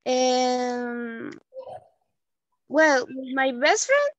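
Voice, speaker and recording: A woman's voice: high-pitched, expressive, extremely slow, no room sound, lo-fi sound. A woman answering interview questions in English, hesitant and searching for words. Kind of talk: English speaking practice.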